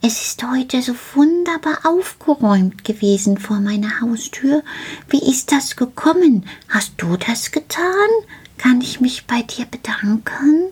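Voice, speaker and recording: A woman voicing a small, excitable male gnome who is eager, childlike and likes to repeat words in a storybook tale. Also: high-pitched, measured pace, husky, voice pushed up, heavily acted. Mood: curious.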